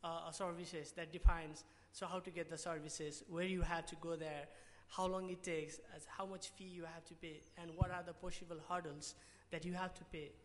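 A young man with a Nepali accent speaks in a fairly high voice from a podium at a conference. He stumbles and restarts often, and the long reverb of a big hall trails behind his words. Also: little pitch movement, moderate pace, few pauses. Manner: hesitant, many restarts and fillers. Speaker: award presenter. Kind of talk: conference pitch talk. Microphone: podium mic.

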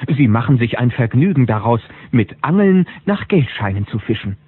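A man's voice: mid-range voice, very animated, moderate pace, husky, dry recording, phone-quality sound. A man narrating a German children's audio drama as a wry, knowing storyteller. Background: music.

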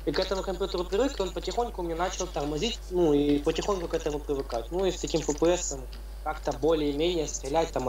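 Young male guest, rambly storyteller, slightly high, echoey distant recording. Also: darkish tone. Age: young adult. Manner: rambling, loose storytelling with many fillers. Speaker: interview guest, game player. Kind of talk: casual interview.

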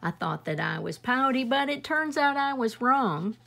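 A woman's voice: high-pitched, expressive, moderate pace, creaky, fairly bright tone, voice pushed up, exaggerated voice. A woman voicing a gloomy, sulky male fish in a read-aloud picture book, speaking in a resigned, mopey way. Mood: overjoyed.

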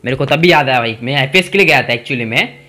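A man's voice: medium pitch, quick pace, bright, forceful tone. A young man doing stand-up, telling stories about his friends and acting out their lines with big gestures, recorded indoors on a fixed camera mic. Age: young adult. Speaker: stand-up comedian. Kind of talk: stand-up storytelling. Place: indoor room in front of a brick-wall backdrop.

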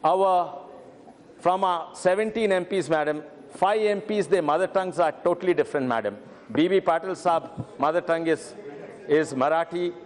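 Middle-aged guy, speech in parliament, slightly high voice, echo of a big hall. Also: normal speed. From Telangana, India. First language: Telugu. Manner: earnest, repeats "madam" often, brief stammers. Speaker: member of parliament. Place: large parliament chamber. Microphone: desk microphone. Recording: reverberant parliament chamber.

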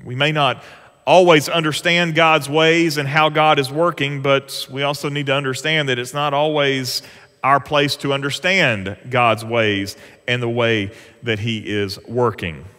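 A pastor, preaching an earnest, conversational sermon from a church stage. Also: male voice, mid-range voice, steady tone, a little slow, sharp tone. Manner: earnest, conversational preaching with rising emphasis on scripture. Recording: long church hall reverb.

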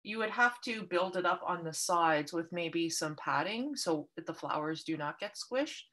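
Woman from Canada, lowish voice, patient craft instructor, video call. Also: animated, normal speed, a bit bright. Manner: friendly, patient step-by-step explaining. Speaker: art workshop instructor. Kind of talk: online craft workshop instruction.

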